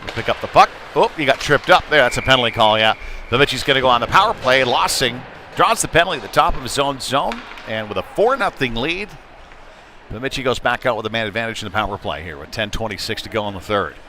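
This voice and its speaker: Man calling hockey over clear background noise. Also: medium pitch, animated, fast talker, sharp tone. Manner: clipped present-tense phrases, conversational asides. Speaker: play-by-play hockey commentator. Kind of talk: live youth hockey play-by-play commentary. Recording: noisy rink ambience behind live commentary. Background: sports venue noise.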